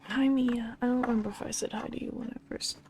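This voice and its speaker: A woman's voice: on the high side, steady tone, fast talker, background noise. A woman streaming a game, casually muttering to herself as she works out a puzzle.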